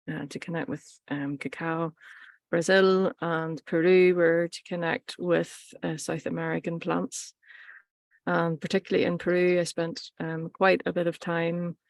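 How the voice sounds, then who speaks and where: A woman teaches in an informal, reflective manner, pausing between short phrases. Her voice sits on the low side and stays fairly even in melody, with a noticeable creak at the edges. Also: dry recording, studio quality. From Ireland. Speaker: workshop teacher and plant practitioner.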